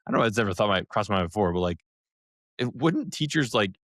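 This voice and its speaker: A man co-hosting a podcast, chatting casually in a halting, rambling, joking way. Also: fairly low pitch, expressive, fairly bright tone, dry recording, clean studio sound. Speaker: podcast co-host reviewing an audiobook. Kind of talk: casual review chat.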